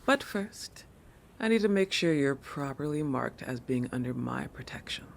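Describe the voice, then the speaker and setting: A woman's voice: very deep voice, animated, normal speed, creaky, a little hiss or hum, exaggerated voice. A woman voicing a proud, possessive young shark girl in a roleplay monologue, with a haughty, teasing manner that keeps correcting itself. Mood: coy, possessive. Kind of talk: roleplay audio monologue addressed to the listener.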